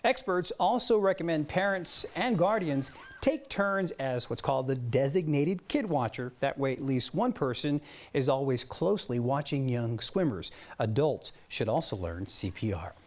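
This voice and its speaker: A man's voice: medium pitch, lively intonation, moderate pace. A man with an American accent anchoring the news, moving between broadcast delivery and light studio banter.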